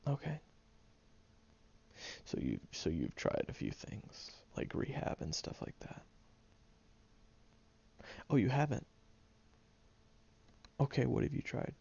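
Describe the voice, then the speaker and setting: A prickly young man who hides his soft side behind grumbling, played by a man in an audio roleplay. His deep, gravelly voice swings widely up and down as he hesitates and teases. The close recording sounds dull and lo-fi. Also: wildly swooping pitch, takes it slowly, pauses often, heavily acted. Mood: earnest. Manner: grumbling, hesitant, awkwardly tender. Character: prickly gruff young man, secretly caring friend.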